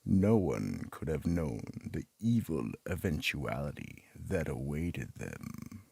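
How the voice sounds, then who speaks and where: Male narrator, rumbling low voice, dramatic swoops, ominous, dry sound, scripted horror. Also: big pitch swings, takes it slowly, gravelly, warm tone, breathy edge, dry recording.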